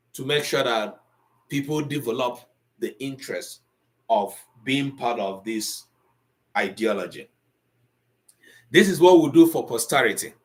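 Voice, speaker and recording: A man in middle age teaching a live online Igbo lesson. His voice sits at an ordinary pitch, and he talks in a lively, conversational way, lecturing his students and moving easily between English and Igbo. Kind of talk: live online language class.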